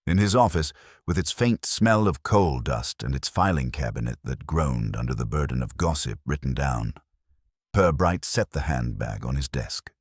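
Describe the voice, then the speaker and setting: Male narrator for wry, measured mystery drama; very deep pitch, lively intonation. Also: breathy edge, no room sound, studio quality. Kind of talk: scripted literary mystery narration.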